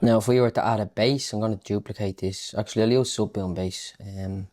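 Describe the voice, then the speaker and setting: A man's voice: on the low side, fairly even intonation, a little fast, a little mellow. A man giving a casual music production tutorial, talking informally and thinking aloud as he works. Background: electronic house music.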